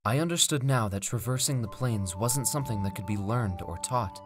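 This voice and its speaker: A man plays a driven, plane-traveling mage recounting his life as a fantasy tale. He speaks in formal, measured storybook phrases with theatrical weight. His medium-pitched voice sits over clearly audible background music. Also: expressive, natural voice. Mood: calm, resolved. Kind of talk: dramatic first-person fantasy story narration. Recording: music under the voice.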